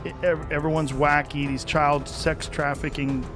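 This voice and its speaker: A man's voice: on the high side, little pitch movement, moderate pace, music in the background. A man talking casually in a conversational podcast style.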